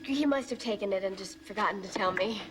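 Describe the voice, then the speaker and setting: A woman's voice: medium pitch, quick pace, natural voice. A woman playing a strong, self-assured young heroine in a television drama, direct and conversational. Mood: casual. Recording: noisy TV soundtrack.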